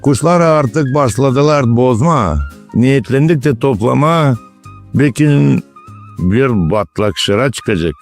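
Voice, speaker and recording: A man's voice: mid-range voice, lively intonation, slow pace. A man voicing a kindly, practical old village grandfather in a children's cartoon, with a folksy, instructive way of talking. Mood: hearty. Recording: music behind the voice.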